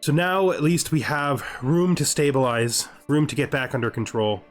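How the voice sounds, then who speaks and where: A man's voice: mid-range voice, normal speed. Guy talking through his strategy game, mid voice, mulls stuff over and repeats himself, music behind him. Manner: deliberative, repeats himself, often checks with "right?". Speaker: gaming host playing a strategy game. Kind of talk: thinking-aloud gameplay commentary, part in character.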